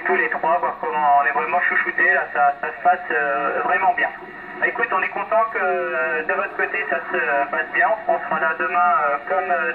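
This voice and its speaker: Male radio operator, high voice, chatty and halting, coming through a tinny narrowband transmission. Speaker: amateur radio operator on an island expedition. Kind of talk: casual amateur radio contact. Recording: distant, noisy, narrowband shortwave radio link. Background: clear radio noise.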